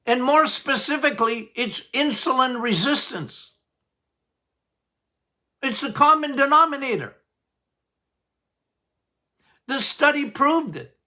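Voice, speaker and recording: An older man hosting a health podcast as a doctor, talking loudly and passionately, with frequent laughs. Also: very high-pitched, lively intonation, a little slow. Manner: loud, emphatic, passionate, laughs often. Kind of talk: casual health podcast talk.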